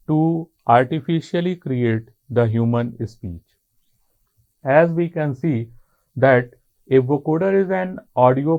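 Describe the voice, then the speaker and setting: A man with an Indian accent giving a technical college lecture in an explanatory way, in deliberate short phrases. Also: mid-range voice. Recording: muffled, phone-like narrow band.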